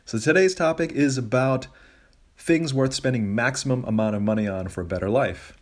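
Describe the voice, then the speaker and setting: A man's voice: mid-range voice, normal speed. A middle-aged man hosting a solo personal finance podcast, talking casually and giving emphatic advice with colloquial asides. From grew up in Asia and Zambia, living in the United States.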